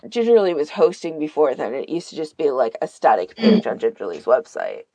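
A woman's voice: low voice, sing-song, brisk, dark tone, no echo. A woman from Canada chatting casually as a podcast host, rambling and peppering her talk with "like". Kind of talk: casual chat.

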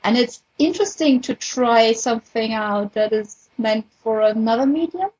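A woman's voice: on the high side, normal speed, a little mellow, breathy edge, highs cut off. A woman chatting casually and reflectively as a guest in a panel discussion. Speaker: podcast panelist, audiobook-style fan fiction reader.

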